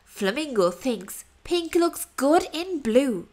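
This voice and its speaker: A woman's voice: high voice, big pitch swings, takes it slowly, a little mellow. A woman reading a rhyming children's story aloud as narrator, expressively and with dramatic emphasis.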